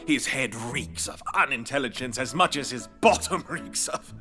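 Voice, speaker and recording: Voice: medium pitch, expressive, moderate pace, bright, forceful tone, soft background music. An actor playing a pompous desert grasshopper, a royal historian with a flowery, theatrical manner, in a family audio drama. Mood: haughty, consoling.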